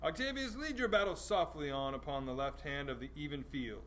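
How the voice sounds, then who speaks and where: A scornful Roman general, performed by a man in a somewhat high male voice. He is theatrical and taunting, delivering Shakespearean verse over faint background noise. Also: brisk, fairly bright tone, lightly acted. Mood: confident. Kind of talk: amateur dramatic Shakespeare reading.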